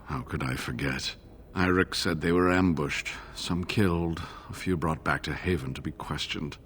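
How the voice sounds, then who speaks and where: An actor playing a weary, earnest scholar in a fantasy video game, speaking in a measured, formal, rueful way. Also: male voice, a bass voice, fairly bright tone, a little hiss or hum. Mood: bitter.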